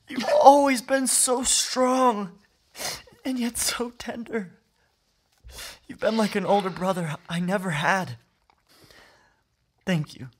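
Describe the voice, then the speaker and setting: An actor playing a grief-stricken, haunted young man in an emotional, solemn audio drama performance. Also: sits high. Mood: tearful gratitude.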